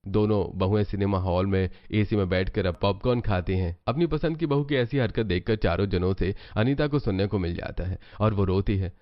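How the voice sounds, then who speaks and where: A man's voice: on the low side, brisk, few pauses, no room sound, dull audio. A man reading scripted storybook narration. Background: background music.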